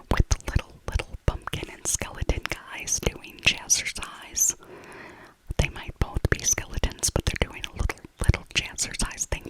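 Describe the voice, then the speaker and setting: A middle-aged woman whispering a casual, rambling ASMR shopping voiceover, softly repeating her phrases. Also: poor audio. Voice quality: soft unvoiced whisper. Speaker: ASMR creator.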